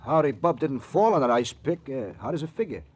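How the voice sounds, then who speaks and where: An American actor playing an anxious, earnest sidekick who stammers and repeats himself, in a 1940s radio drama. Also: male voice, mid-range voice, animated, a little fast, a little mellow, close to an everyday voice. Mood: anxious, puzzled. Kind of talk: 1940s radio crime acting.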